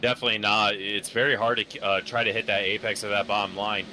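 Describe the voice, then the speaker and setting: Low-voiced man giving race analysis. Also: level tone, quick pace, pushed and bright, slight background noise. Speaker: color commentator. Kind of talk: live sim racing analysis.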